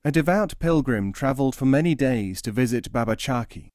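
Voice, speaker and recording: A man's voice: mid-range voice, expressive, brisk, darkish tone, dry recording. Male storyteller in a dry, close recording. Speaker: audiobook narrator. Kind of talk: scripted storytelling narration of traditional tales.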